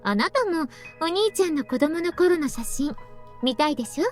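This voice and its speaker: A woman playing a composed, gently teasing mother in a Japanese visual novel. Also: high-pitched, lively intonation, quick pace, soft background music. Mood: coaxing.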